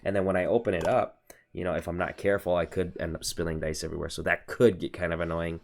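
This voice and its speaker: A man's voice: low voice, flat delivery, quick pace, creaky, a little mellow. An American man in his thirties, stuffy from allergies, rambling through a casual product review on a home stream with lots of fillers and self-corrections. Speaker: streamer reviewing card game accessories. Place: home desk room.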